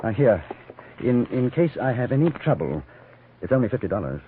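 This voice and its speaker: An actor playing a polite, dry, composed gentleman adventurer in a 1950s radio western. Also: mid-range voice, very animated, moderate pace, noisy background, lightly acted. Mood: concerned, courteous. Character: composed, courteous English gentleman adventurer in frontier West. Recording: dull vintage 1950s radio recording.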